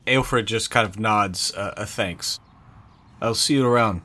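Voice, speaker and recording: Hesitant low-voiced man roleplaying. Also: sharp tone. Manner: hesitant, halting delivery. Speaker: tabletop game player. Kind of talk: improvised roleplay, describing his character's actions.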